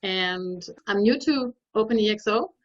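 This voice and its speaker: A woman's voice: medium pitch, measured pace. Woman from Denmark on a dull call line. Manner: relaxed, conversational, frequent fillers. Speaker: consultant. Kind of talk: video call interview.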